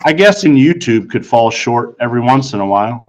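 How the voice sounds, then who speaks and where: A man's voice: medium pitch, big pitch swings, brisk. A man narrating a first-person audiobook story in a formal, precisely worded manner. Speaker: audiobook reader.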